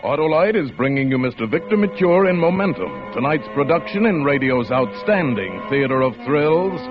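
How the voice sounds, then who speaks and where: Casting: male old-time radio announcer, suspenseful and highly animated, on a muffled vintage recording. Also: normal speed, over loud music. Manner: theatrical, suspenseful delivery. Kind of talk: 1940s radio announcing, dramatic.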